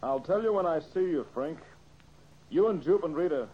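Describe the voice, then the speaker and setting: An actor playing a composed, clever amateur detective, American, earnest and clipped, in old-time radio mystery style. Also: male voice, on the high side, lively intonation, normal speed, husky. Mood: cool. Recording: muffled, hissy old radio recording.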